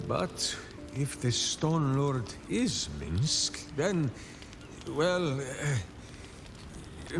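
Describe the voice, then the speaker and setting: Big earnest ranger, grandiose third-person talk, slow and highly animated, game dialogue over music. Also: male voice, heavily acted. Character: hearty, simple-hearted, earnest hulking male ranger warrior. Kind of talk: theatrical video game dialogue.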